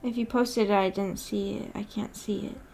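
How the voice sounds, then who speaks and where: A woman's voice: mid-range voice, steady tone, normal speed, vocal fry, darkish tone, a little hiss or hum, rough recording. Casual woman chatting on a livestream. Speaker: livestream host of a visual novel book club. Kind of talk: casual livestream chat, replying to viewer comments.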